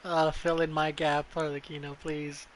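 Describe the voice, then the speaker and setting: A man doing casual live commentary as a game streamer, with playful, sweary, excitable asides. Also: fairly high pitch, normal speed, background noise.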